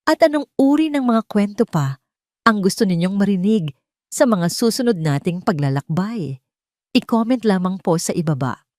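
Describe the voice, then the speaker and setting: A woman with a Filipino accent narrating a sentimental scripted story in a measured, earnest way. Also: medium pitch, expressive, darkish tone, no echo, studio quality. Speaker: narrator and host of an audio story channel.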